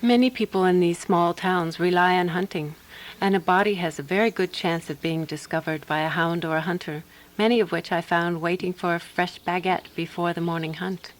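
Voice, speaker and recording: A woman actor playing a composed, wry woman who narrates a radio drama in a measured, dry manner. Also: fairly low pitch, steady tone, natural voice, low-quality recording.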